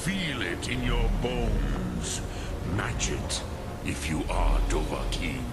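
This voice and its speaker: A male actor playing an ancient, wise dragon in a fantasy video game, patient and weary, with a gravelly voice and slow, formal delivery. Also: low voice, sing-song, heavy background noise, heavily acted. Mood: solemn, commanding. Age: older. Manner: slow, deliberate, formal, with long pauses.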